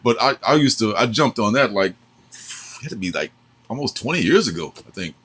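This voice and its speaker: A man's voice: fairly low pitch, sing-song, brisk, a bit bright, breathy edge. A man in his forties, co-hosting a casual chat. He talks in a chatty way, laughs often, and uses a lot of fillers. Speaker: co-host.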